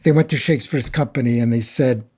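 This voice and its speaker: A man's voice: mid-range voice, fast talker, a little mellow, slightly rough. A man giving conversational expert commentary as a guest scholar, telling it emphatically like a story.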